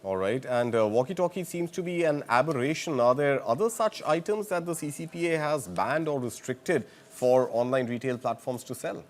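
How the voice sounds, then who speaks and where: A man's voice: mid-range voice, animated, normal speed, few pauses. A man with an Indian accent presenting the news and interviewing as a broadcast anchor.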